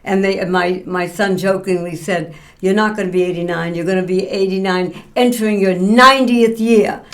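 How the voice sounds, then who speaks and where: A woman's voice: medium pitch, fairly even intonation, moderate pace. A woman in her late eighties interviewing guests in a conversational style as a show host.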